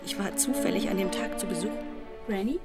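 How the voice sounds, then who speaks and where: A woman's voice: medium pitch, fairly quick, loud music behind the voice, natural voice. A woman playing a pensive, musing granddaughter of a war veteran in a radio drama. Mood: subdued, sad. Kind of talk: radio drama acting and narration.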